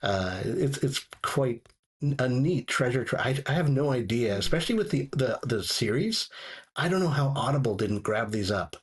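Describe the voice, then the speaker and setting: A man with a slightly husky voice chatting casually as a podcast guest, in a halting way that often repeats and restarts phrases. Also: mid-range voice, lively intonation. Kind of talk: casual chat, recommending audio dramas.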